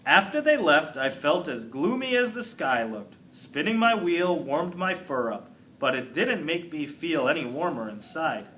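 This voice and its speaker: A man reading a children's book aloud in an expressive, emphatic narrating style. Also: on the high side, a little slow, hardly any pauses, a little hiss or hum. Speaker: storybook reader.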